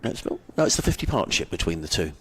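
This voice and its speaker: A man's voice: sits low, very animated, very fast, fairly bright tone, a little hiss or hum. A middle-aged man giving chatty cricket commentary, friendly and rambling, mixing ball-by-ball calls with asides. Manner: rambling, friendly, lots of fillers and restarts.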